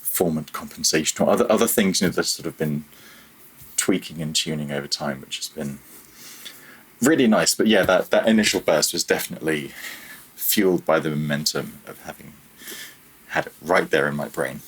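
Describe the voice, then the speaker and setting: A man with a deep, somewhat husky voice speaks as an interview guest. He explains things informally, wandering from one thought to the next in a casual conversational style. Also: moderate pace, rough recording. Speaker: podcast guest, audio programmer.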